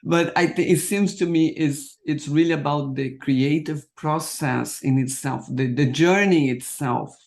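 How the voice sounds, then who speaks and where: A man hosting an interview in English, which is not his first language, asking questions haltingly as he searches for words. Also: fairly high pitch, gravelly, darkish tone. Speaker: interview host.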